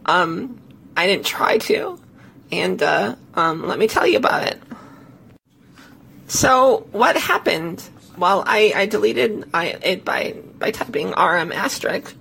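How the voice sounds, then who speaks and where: A woman podcast host with a hoarse, failing voice tells a tech story casually, in a halting, self-correcting way. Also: mid-range voice, big pitch swings, a bit bright, slight background noise, rough recording. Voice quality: hoarse, gravelly. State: hoarse, losing voice.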